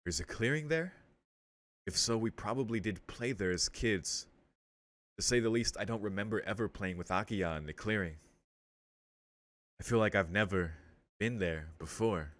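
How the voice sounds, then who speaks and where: A man's voice: lowish voice, moderate pace, pauses often, a bit bright, dry recording. A man hosting a let's play, reading visual novel text aloud and breaking in with casual, slangy commentary. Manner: interjects with casual slang and swearing.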